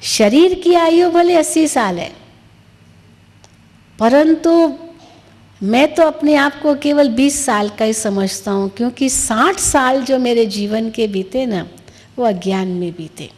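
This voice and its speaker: In a reverberant hall comes an old retired businessman from a parable, acted out by a woman. He is candid and at peace, laying out his reasoning patiently and slowly, in a soft mellow tone. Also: a little high. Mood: candid, contented. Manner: explains himself patiently and plainly. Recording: long reverberant hall echo.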